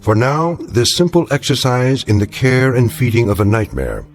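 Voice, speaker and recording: A man narrating a radio drama as a grave, knowing storyteller, measured and portentous with a wry edge. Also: mid-range voice, huge pitch swings. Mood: grave, ominous. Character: grave, knowing, ominous storyteller of strange tales. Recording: music under the voice.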